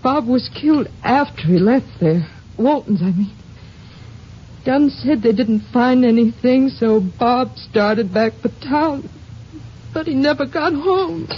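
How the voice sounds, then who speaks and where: An actress playing a gracious, grieving small-town widow who speaks softly and hesitantly in a 1950s western radio drama, on an old recording. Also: female voice, fairly high pitch, slow pace, husky, muffled recording. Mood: subdued, anxious.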